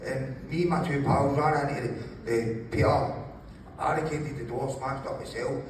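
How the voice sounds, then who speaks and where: A man's voice: mid-range voice, fairly even intonation, moderate pace. A man, telling stories casually in a jokey, sweary way as an interview guest. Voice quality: gravelly. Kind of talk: animated casual storytelling. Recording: very echoey room, distant mic, faint noise.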